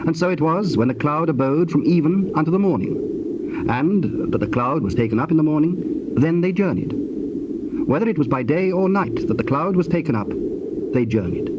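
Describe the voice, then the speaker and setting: A man narrating dramatized scripture in a formal, measured manner. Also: on the high side, expressive. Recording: dull, noisy cassette tape.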